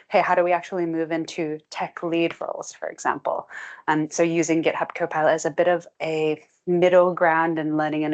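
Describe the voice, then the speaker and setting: A young woman giving a tech talk to a meetup audience, brisk and chatty with frequent "um" and "like". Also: deep voice. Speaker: guest speaker, technical presenter. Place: meetup room with a live audience.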